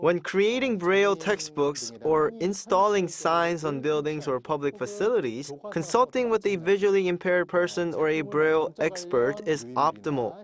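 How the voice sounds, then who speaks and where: Higher-pitched man, news interview voice. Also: animated, moderate pace, few pauses.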